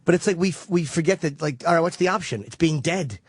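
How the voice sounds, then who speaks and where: A man's voice: on the high side, fast, breathy edge, dry recording. A man co-hosting a radio talk show, arguing his point in a blunt, profane way on a studio mic. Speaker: radio co-host. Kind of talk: casual radio banter.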